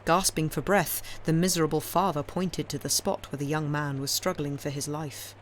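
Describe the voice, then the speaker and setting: A woman reading classic fiction aloud as an audiobook narrator, in a measured, expressive, dramatic storytelling style. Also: deep voice, slight background noise. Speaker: audiobook reader.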